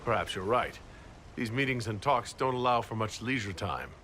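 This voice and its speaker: An actor playing a courteous, good-humored leader of a fantasy order in a video game, gently teasing and sincere. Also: male voice, medium pitch, normal speed, slight background noise, close to an everyday voice. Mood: warm, teasing. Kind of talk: video game cutscene acting.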